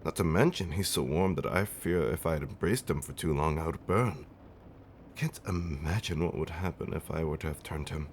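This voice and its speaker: Guy doing a low voiced old vampire husband, doting and a bit fussy, comfort roleplay, noisy ambience behind him. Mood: playful affection. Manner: old-fashioned, genteel wording with dramatic pauses. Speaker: audio roleplay performer. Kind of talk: intimate comfort roleplay, ASMR-like storytelling.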